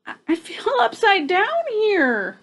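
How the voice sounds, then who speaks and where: Little storybook bird, voiced by a woman: bewildered, exclamatory, high and animated. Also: takes it slowly, exaggerated voice. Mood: confused. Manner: exclamatory, wide-eyed. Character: small, excitable, bewildered young birds.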